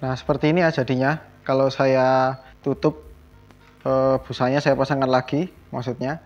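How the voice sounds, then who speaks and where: A man's voice: medium pitch, level tone, normal speed. A man casually narrating a hands-on tutorial, explaining step by step as a vlogger. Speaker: vlogger demonstrating a product installation. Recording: dull lo-fi sound, music behind.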